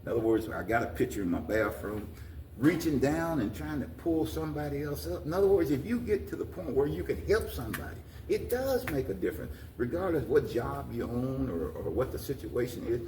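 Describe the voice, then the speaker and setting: An older American man giving an informal interview, talking in a halting, folksy way with repeated phrases. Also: medium pitch, animated, dark tone. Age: 60s or older. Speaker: interview guest, community leader. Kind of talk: informal talk-show interview. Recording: roomy echo, distant mic, faint noise.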